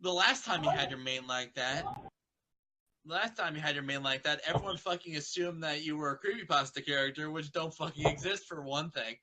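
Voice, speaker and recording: A man's voice: a little high, a little fast, pushed and bright, slight background noise. A man chatting casually as a co-reader, crude and sweary, drifting off on tangents. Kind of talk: casual bantering chat.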